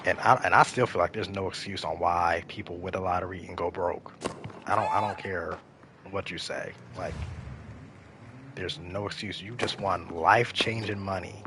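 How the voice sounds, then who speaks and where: A man's voice: deep voice, steady tone, moderate pace, background noise. A man in his thirties chatting casually and rambling, repeating himself as he streams a game. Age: 30s. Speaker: gaming streamer. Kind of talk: casual chat while playing a game.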